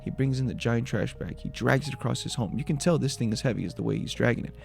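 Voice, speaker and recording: A man's voice: medium pitch, fast talker, creaky, darkish tone, faint music behind. A man giving a scripted breakdown of a horror video as its creator, in voice-over narration.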